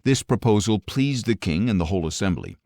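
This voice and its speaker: A man narrating scripture from a script as an audiobook reader, solemn and measured, with clear enunciation. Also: on the low side, animated, dark tone, no room sound, clean studio sound.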